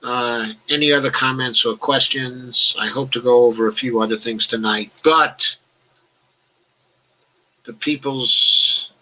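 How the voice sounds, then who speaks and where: Older male host, bright tone, relaxed comment-reading chat, mild stutter. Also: medium pitch, a little slow, rough recording. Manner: talks about his own stutter, some restarts. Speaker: host of a political discussion show. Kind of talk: casual live-stream chat, reading out viewer comments.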